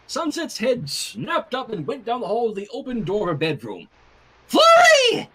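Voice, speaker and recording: A fan fiction reader narrating a story with casual, irreverent asides in an amused, expressive way. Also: mid-range voice, moderate pace, husky.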